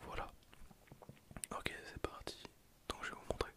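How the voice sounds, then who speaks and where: A young man gives casual, hesitant gameplay commentary in a breathy, unvoiced ASMR whisper. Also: moderate pace. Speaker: ASMR gaming video host.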